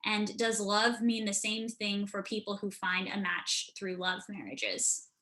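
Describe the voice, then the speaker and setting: A woman's voice: medium pitch, a little slow, pushed and bright. A young woman hosting an evening of staged readings on a video call, introducing the next scene informally. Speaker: host of a staged reading night.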